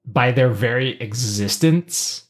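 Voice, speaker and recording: A mid-pitched podcast co-host talks casually about pop culture. The delivery is explanatory, the kind that walks a listener through a point and drops in playful, acted-out asides. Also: takes it slowly.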